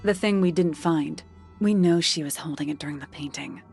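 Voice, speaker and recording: Sharp detective in a mystery game, lowish creaky voice, short measured lines, kinda pensive, bit of music behind. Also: female voice. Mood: pensive, questioning. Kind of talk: video game voice acting, measured deduction.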